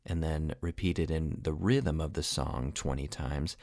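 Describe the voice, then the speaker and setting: A man who is an opera singer and voice teacher, giving a casual podcast lesson, relaxed and rambling with many fillers. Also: very deep voice, a little slow, dry recording, studio quality.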